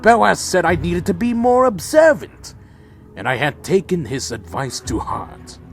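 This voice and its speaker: A man's voice: high-pitched, sing-song, measured pace, gravelly, soft background music, exaggerated voice. A man playing a proud, brooding vampire warrior in a fan audio drama, speaking and narrating in a solemn, formal, dramatic way. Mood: brooding, resolute. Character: proud male vampire warrior, loyal to his clan, brooding. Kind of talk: audio drama dialogue and first-person narration.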